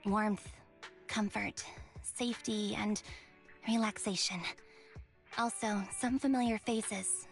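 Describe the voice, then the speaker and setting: A woman's voice: medium pitch, lightly acted. A woman playing an earnest, caring young woman in a video game.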